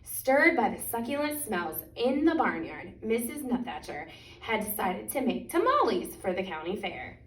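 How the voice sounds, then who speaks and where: A woman reads a picture book aloud for children in an expressive, deliberate way, stretching out key words. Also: medium pitch. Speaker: children's story reader. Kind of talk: animated picture-book storytime reading. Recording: echoey room, distant microphone.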